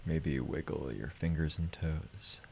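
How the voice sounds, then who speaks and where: A man hosting a live stream, guiding meditation and chatting casually in a gentle, unhurried, reflective way. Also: very deep voice, gravelly, slight background noise.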